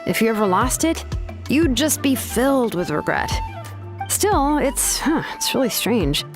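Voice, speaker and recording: Easygoing, lively-pitched game heroine. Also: female voice, a little slow. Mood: puzzled. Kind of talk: game character dialogue. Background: game music.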